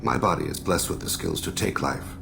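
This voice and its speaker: An actor playing a solemn, regretful alien assassin and father in a video game, speaking in measured, formal, introspective phrases with a gravelly voice. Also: background noise. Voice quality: very deep, heavily gravelly. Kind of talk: video game dramatic dialogue.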